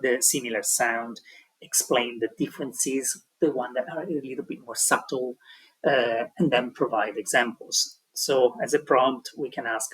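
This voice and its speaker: Husky man giving a hesitant tutorial. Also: mid-range voice, fairly bright tone, poor audio. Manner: hesitant, many ums and restarts. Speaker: language teaching tutor. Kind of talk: explaining an online tutorial.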